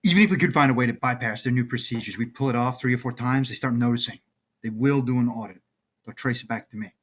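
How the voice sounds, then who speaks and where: A man's voice: medium pitch, fast, natural voice. A man acting a frustrated, wary heist partner in a crime screenplay table read. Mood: worried, resigned.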